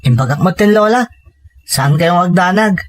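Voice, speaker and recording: A man's voice: high-pitched, lively intonation, moderate pace. A man playing an earnest, dutiful young grandson and student in an Ilocano-accented audio drama. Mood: reassuring.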